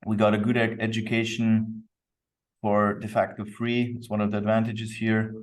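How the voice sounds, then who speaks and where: A man's voice: fairly low pitch, completely flat delivery, moderate pace. Austrian man, easygoing, off the mic. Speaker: podcast guest, company co-founder. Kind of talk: reflective interview talk. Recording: distant microphone.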